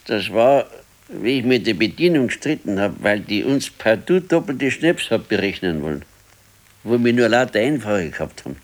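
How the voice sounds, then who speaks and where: A man's voice: fairly low pitch, big pitch swings, normal speed. A man playing an ailing, stubborn, brooding old husband in a radio play, laconic and weary, with a southern German, Bavarian accent. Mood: grumbling. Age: older. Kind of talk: naturalistic radio play acting.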